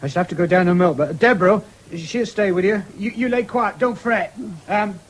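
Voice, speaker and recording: Gentle, higher-voiced farmer's son. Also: male voice, moderate pace. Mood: soothing. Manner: gentle, reassuring. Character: soothing, dutiful farmer's son tending his mother. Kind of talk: radio drama acting, 1970s.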